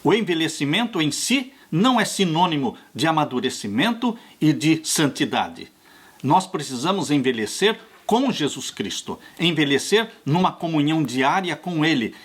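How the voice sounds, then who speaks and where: Older pastor preaching with husky voice. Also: male voice, fairly high pitch. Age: 60s. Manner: earnest, slow pastoral preaching, warmly addressing listeners as "dear ones". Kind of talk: sermon on a Bible study lesson.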